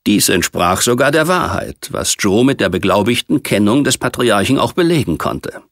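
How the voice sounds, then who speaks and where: A man narrating a science fiction novel as an audiobook, reading in a measured, precise literary manner. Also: on the low side, sing-song, a bit bright, no echo, studio quality.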